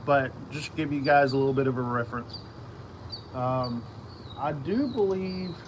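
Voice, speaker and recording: A middle-aged man giving a casual, unscripted hobby explainer, halting and often repeating himself as he circles back to his points. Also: mid-range voice. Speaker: radio hobbyist video host. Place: outdoors in a yard. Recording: outdoor noise with insects and traffic.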